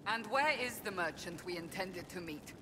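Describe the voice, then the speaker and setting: A woman's voice: on the low side, lively intonation, moderate pace, close to an everyday voice. An actress playing a cool, haughty female companion in a video game, formal and arch. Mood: cool, questioning. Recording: reverberant space, clear ambient noise.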